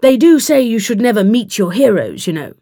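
Wry, protective male time traveller, read by a woman, calm and clipped, storybook hero, dry close recording. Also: a little high, fairly quick, no room sound. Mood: wry, reassuring.

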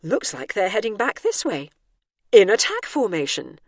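A woman's voice: medium pitch, wildly swooping pitch, moderate pace, dry recording, clean studio sound, voice pushed up. A woman voicing Bernice, a cheeky older heroine who is smug and breezy.

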